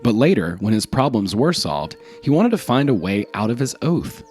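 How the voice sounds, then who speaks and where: A man's voice: medium pitch, very animated, normal speed, a little mellow, soft background music. A man narrating scripted folk tales as a storyteller.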